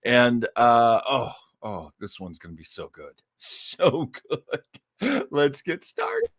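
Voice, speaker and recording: A man chats casually as the host of a listening show, his voice sitting at a middling pitch. He rambles in a jokey, self-deprecating manner, and the sound is dry and free of room echo. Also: wildly swooping pitch, takes it slowly, fairly bright tone. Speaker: host of an old-time radio listening show. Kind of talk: casual livestream chat.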